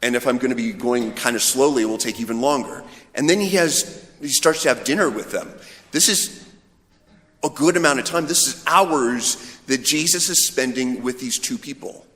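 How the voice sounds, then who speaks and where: A man's voice: medium pitch, normal speed, fairly bright tone. Male priest, conversational homilist, storyteller's delivery, long church reverb around the voice. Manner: storytelling, voicing imagined dialogue. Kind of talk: informal, conversational sermon. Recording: echoey hall with long reverb.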